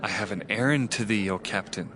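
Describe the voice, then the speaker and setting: An actor playing an earnest young prophet, solemn and declamatory, in a dramatized scripture reading. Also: male voice, lowish voice, fairly quick, sharp tone. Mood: urgent, respectful. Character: earnest young male prophet proclaiming divine judgment.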